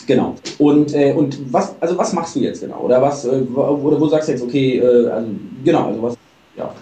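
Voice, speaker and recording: Man, tired, rambling, mellow tone, echoey. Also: medium pitch, fairly quick, far from the mic, a little hiss or hum. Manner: rambling, filler-heavy, admits stuttering. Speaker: show host. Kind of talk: casual chat. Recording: roomy, from off mic.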